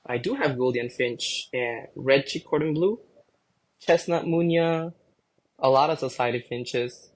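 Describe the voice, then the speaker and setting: A man's voice: medium pitch, a little slow. Filipino-born man, easygoing guest chat. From Philippines. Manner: relaxed, rambling with many fillers. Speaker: podcast guest. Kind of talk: casual chat.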